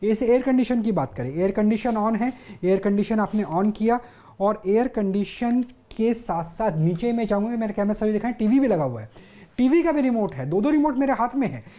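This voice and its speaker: A woman's voice: mid-range voice, expressive, moderate pace, mellow. A woman presenting TV news in a live demonstration style, explaining step by step with emphatic repetition.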